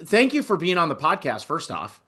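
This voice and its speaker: A man's voice: a little high, huge pitch swings, brisk, sharp tone, breathy edge. An American man hosting a podcast, speaking in an informal, enthusiastic way. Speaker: podcast host. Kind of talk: casual interview chat.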